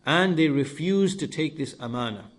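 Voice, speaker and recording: A man with a somewhat high voice delivers a religious lesson. He lays out his explanation in short, deliberate phrases. Key words come back again, repeated for emphasis, as a teacher would do. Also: animated. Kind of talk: lesson-style sermon explaining scripture.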